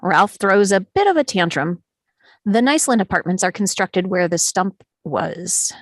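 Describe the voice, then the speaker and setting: A woman's voice: medium pitch, animated, moderate pace, no echo, clean studio sound. A woman narrating scripted stage directions at a screenplay table read.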